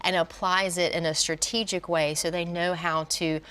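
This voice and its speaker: A woman's voice: fairly low pitch, little pitch movement, normal speed, a bit bright, smooth. A woman speaking as a college business educator in an interview, explaining in an encouraging way.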